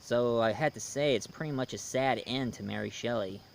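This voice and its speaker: A man giving a casual, conversational review commentary with history asides, speaking informally and haltingly as he goes. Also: medium pitch, vocal fry, a little hiss or hum. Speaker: video reviewer.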